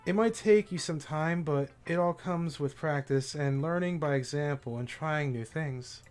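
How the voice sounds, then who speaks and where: A man's voice: fairly high pitch, a little slow, soft background music, natural voice. A man reading a shy, bookish teenage girl's lines aloud, hesitant and stammering at first, then earnest when explaining. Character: shy bookish teenage girl, soft-spoken. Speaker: streamer voicing a game character.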